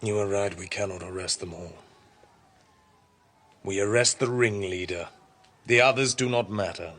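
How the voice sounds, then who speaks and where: A contemptuous king obsessed with order in a radio drama. His voice is husky and sharp, and he declaims with scornful, forceful emphasis. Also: fairly low pitch, moderate pace. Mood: cold, ruthless.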